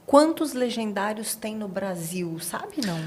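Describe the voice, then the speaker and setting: A woman's voice: mid-range voice, sing-song, a little slow. A Brazilian woman talking conversationally as the host of an interview podcast.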